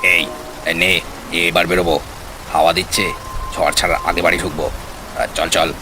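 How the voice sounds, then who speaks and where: A man's voice: sits low, little pitch movement, normal speed. A man playing a bold, impatient village commuter with a brusque, exclaiming, colloquial way of talking in a cartoon story. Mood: brisk. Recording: faint rain noise behind.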